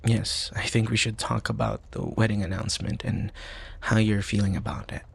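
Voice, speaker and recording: A man playing a young college student, a protective, teasing and tender best friend, in an intimate ASMR roleplay with soft, sighing, hesitant delivery. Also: fairly low pitch, sing-song, moderate pace, full character voice. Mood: tender, caring. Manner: soft, sighing, hesitant stammers, breathy asides.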